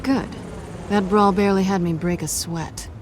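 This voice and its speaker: A woman's voice: mid-range voice, fairly even intonation, moderate pace, noisy background, lightly acted. A woman playing sly, cocky female thief. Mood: cocky, cool. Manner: sly, flippant. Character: cocky, sardonic female thief, young adult. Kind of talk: dramatic game voice acting.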